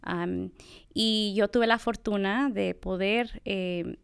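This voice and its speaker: Middle-aged woman academic, reflective interviewee, gravelly but clear, dry close sound. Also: mid-range voice, fairly even intonation, takes it slowly, no room sound. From United States. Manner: thoughtful, reflective, many fillers. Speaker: assistant professor of bilingual education. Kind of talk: conversational interview.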